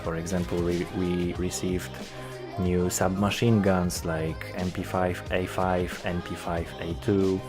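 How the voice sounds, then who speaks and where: A man's voice: low voice, level tone, measured pace, music in the background. A young man from Poland with a Polish accent, speaking English as a second language, commenting on a game's updates with many hesitant filler sounds. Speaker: gaming YouTuber.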